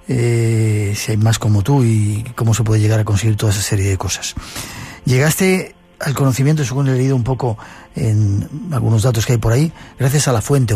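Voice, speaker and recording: A man hosting a radio interview, asking brisk questions. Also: mid-range voice, mellow, breathy edge.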